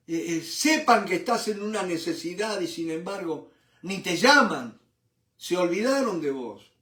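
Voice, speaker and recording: A man's voice: sits high, echoey room, distant mic. An older man from Argentina with an Argentine Spanish accent, teaching a Bible study in sermon style. He often asks rhetorical questions and keeps repeating "¿no es cierto?".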